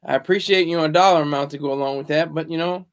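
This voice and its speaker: A man hosts a casual livestream from home, talking in a deliberately hushed, lowered voice. His tone is gravelly with a slightly raised pitch for a man, and he often stumbles and repeats words as he chats with viewers. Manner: deliberately low, hushed delivery. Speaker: livestream host. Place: home, room indoors.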